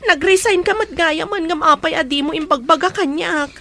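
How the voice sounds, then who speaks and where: A woman's voice: very high-pitched, normal speed, fairly bright tone, faint music behind. A woman playing a concerned, questioning friend in an audio romance drama. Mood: probing. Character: concerned, questioning female friend caught between two friends.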